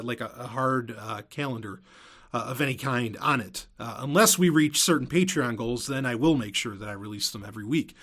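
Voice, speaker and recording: A man's voice: a little high, fairly bright tone. A man hosting a podcast in casual, unscripted talk, rambling and doubling back on his sentences, with informal, emphatic phrasing. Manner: rambling, halting, self-interrupting. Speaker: podcast host and audio drama creator.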